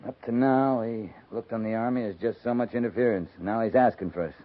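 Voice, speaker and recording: A tinny, hissing 1950s radio recording holds a male actor as a plainspoken cavalry officer. His voice sits at an ordinary male pitch, dry and wry, with few words wasted. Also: brisk, close to an everyday voice. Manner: laconic, wry, matter-of-fact.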